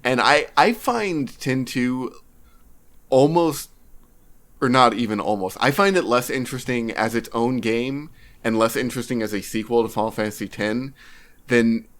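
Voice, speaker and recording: A man co-hosting a podcast in casual discussion, speaking in a measured, halting way as he thinks aloud. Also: mid-range voice, a bit bright. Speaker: podcast co-host.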